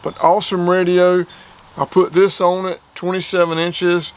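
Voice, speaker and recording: A man's voice: high voice, completely flat delivery, moderate pace, clean tone. A man with a plain-spoken, folksy way of talking, giving a casual hands-on gear review outdoors. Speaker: product reviewer.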